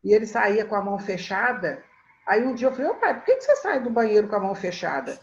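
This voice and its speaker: A woman in her sixties telling family stories in a relaxed conversation, laughing often and repeating words as she starts her thoughts. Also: medium pitch, quick pace, vocal fry, lo-fi sound. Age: 60s. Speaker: guest storyteller. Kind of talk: reflective conversational storytelling.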